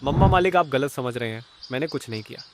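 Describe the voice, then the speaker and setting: A man's voice: medium pitch, expressive, fast, natural voice. A man voicing an anxious, deferential household cook in a cartoon, pleading earnestly, over a noisy recording. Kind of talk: Hindi cartoon story voice acting.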